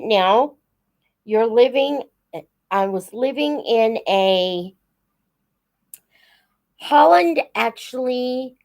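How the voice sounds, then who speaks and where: A woman hosting a casual live chat, unhurried and thinking aloud as she talks to her viewers. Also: high-pitched, a little mellow. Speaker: host of a live book Q&A, teacher-like reader.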